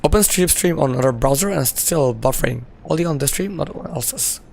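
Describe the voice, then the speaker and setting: A man's voice: on the high side, animated, fairly quick, vocal fry, a little mellow. A male game streamer who is losing his voice, chatting casually with viewers while playing, laughing often and using lots of "you know" fillers. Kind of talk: casual live-stream chat while gaming.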